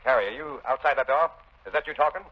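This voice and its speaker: An actor playing a corrupt prison warden in 1930s radio drama, gruff, bossy and blustering when challenged. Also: male voice, medium pitch, quick pace, close to an everyday voice. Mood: flustered, defensive. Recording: dull lo-fi 1930s radio recording.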